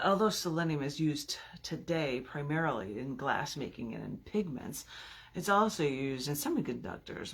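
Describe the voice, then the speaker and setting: A woman's voice: low voice, husky. A woman reading her own poetry aloud in an informal, theatrical and emphatic way, with playful, chatty asides.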